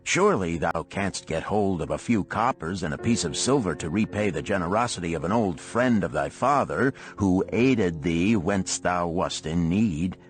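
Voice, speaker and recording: A man's voice: deep voice, lively intonation, moderate pace, few pauses, soft background music. A man voicing a boisterous, blunt middle-aged camel trader in an audiobook parable, declaiming in archaic phrases. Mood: demanding, scolding.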